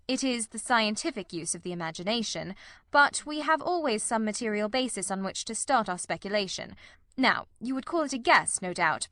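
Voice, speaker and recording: A woman voicing a confident, analytical gentleman detective who lectures precisely, in an audiobook. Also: mid-range voice, no room sound, studio quality, natural voice. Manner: didactic, precise. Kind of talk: audiobook character reading.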